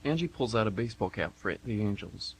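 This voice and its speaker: A man's voice: on the low side, expressive, fairly quick, low-quality recording. A man reading stage directions as scripted narration for a radio drama.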